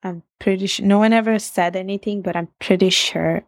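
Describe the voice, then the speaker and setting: A woman's voice: mid-range voice, steady tone, a little fast, no room sound, studio quality. A young woman with an Italian accent, reflective in a casual interview, laughing often and restarting sentences. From Italy. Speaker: guest.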